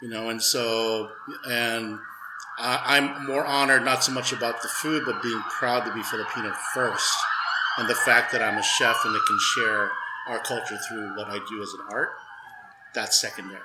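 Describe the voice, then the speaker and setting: A man's voice: medium pitch, steady tone, normal speed, echoey room, heavy background noise. A man who is a chef, speaking in an earnest, reflective way that halts now and then. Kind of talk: casual conversation.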